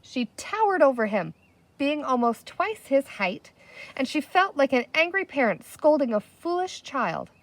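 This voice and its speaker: Expressive woman storyteller, lively pitch. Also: unhurried, no room sound. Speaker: storybook reader. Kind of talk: expressive scripted narration of a children's book.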